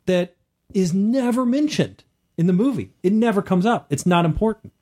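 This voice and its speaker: A man's voice: high-pitched, animated, moderate pace, warm tone. Man chatting casually as podcast co-host. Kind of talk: casual chat about tabletop gaming.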